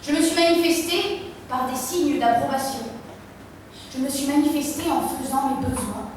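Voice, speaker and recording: A woman in her twenties, a drama student, declaiming a repetitive text in measured tones. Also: high-pitched, a little mellow, slight background noise. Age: 20s. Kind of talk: stage recitation. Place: theatre stage. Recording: echoey hall, distant mic.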